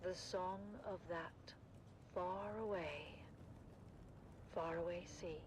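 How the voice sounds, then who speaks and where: Slow, solemn poet over loud noise. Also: female voice, lowish voice, steady tone. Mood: solemn, wistful. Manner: solemn, measured. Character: solemn poet reciting a mournful elegy. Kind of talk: slow poetic recitation.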